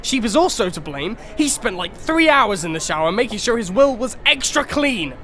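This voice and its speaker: A man's voice: high-pitched, big pitch swings, normal speed. A man voicing a responsible, insistent horse who leads a band, in an amateur radio drama. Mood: defensive. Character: responsible, defensive male horse, lead of band. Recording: noisy outdoor ambience.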